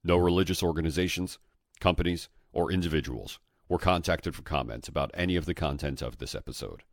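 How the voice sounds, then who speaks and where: Very deep-voiced male podcast host. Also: brisk, no echo, studio quality. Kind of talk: measured scripted podcast introduction.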